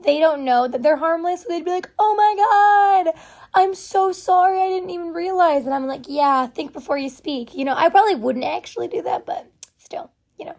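A young woman with a naturally hoarse, raspy voice, hosting a casual solo podcast and rambling chattily with lots of fillers. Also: very high-pitched, normal speed, a little mellow. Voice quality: hoarse, raspy voice from vocal cord nodules. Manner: rambling, chatty, self-deprecating with many fillers. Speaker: podcast host.

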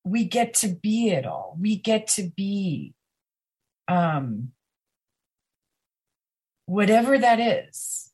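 Slow-talking, husky woman podcast guest. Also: mid-range voice, very animated, a little mellow. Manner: slow, emphatic and intimate, with pauses. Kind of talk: conversational podcast interview.

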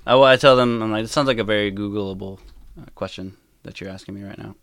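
A man guesting on a podcast, chatting casually with dry, deadpan wisecracks in a naturally loud voice. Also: lowish voice, fast talker, dry recording. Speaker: podcast guest, community moderator. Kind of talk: casual chat.

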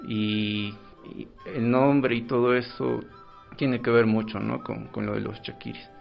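A man's voice: medium pitch, little pitch movement, a little slow, gravelly. A man with a Peruvian accent, an orchestra director answering informally as an interview guest. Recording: dull lo-fi sound, faint music.

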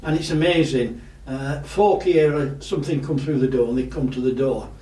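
Loud-voiced man, echoey distance. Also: mid-range voice. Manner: loud voice, halting with many fillers. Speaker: local councillor. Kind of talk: casual interview talk. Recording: echoey room, distant mic.

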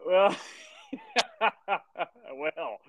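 A man's voice: sits high, animated, extremely slow, dull audio, noisy background. A man with a Southern accent co-hosting a sports podcast in a laid-back, joking drawl, often repeating his phrases. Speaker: podcast co-host. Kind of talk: casual sports chat.

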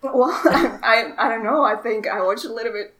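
A woman's voice: fairly high pitch, normal speed, a loud crowd, low-quality recording. Giggly woman searching for words. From Morocco. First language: Arabic. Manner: chatty, giggly, self-deprecating, searches for words. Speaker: guest. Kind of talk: casual interview.

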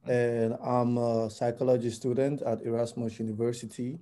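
A man's voice: medium pitch, level tone, moderate pace, warm tone. A man in his twenties from Nigeria, a native Yoruba speaker, chatting casually as an interview guest. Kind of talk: casual chat.

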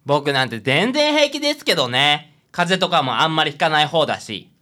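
A man's voice: fairly high pitch, very animated, fairly quick, pushed and bright. A man playing a cheeky, eager fifth-grade boy who is an apprentice detective in a comic radio drama. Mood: cheerful, cheeky.

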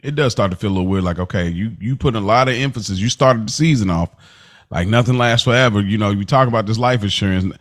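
A man co-hosting a casual podcast, rambling and animated as he acts out scenes from the show. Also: on the low side, fast talker. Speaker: podcast co-host. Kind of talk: casual chat.

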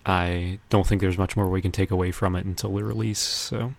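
A man's voice: low voice, steady tone, fairly quick, gravelly. A man co-hosting a podcast, talking casually about pop culture. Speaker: podcast co-host.

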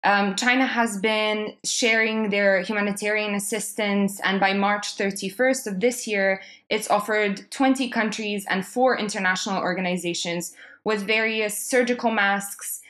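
A young adult woman from Serbia presenting a prepared talk on world affairs, measured and with frequent ums. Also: medium pitch, fairly even intonation, a bit bright.

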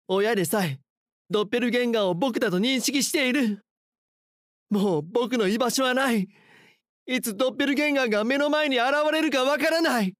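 An actor playing a frightened schoolboy who narrates a horror audio drama in an earnest, dramatic way. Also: male voice, high voice, no room sound, clean studio sound, close to an everyday voice. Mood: panicked. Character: frightened schoolboy haunted by his doppelganger. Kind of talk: first-person scripted horror narration.

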